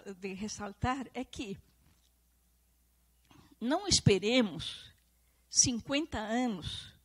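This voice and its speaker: Earnest southern Brazilian woman lecturing. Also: a little high. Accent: Italian-Brazilian southern Brazilian. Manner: earnest, repeats and restarts phrases, emphatic. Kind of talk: public talk to a live audience and online viewers.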